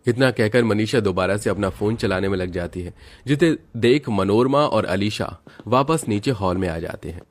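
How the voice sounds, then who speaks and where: A man's voice: fairly low pitch, expressive, moderate pace. A man reading scripted narration for a family story.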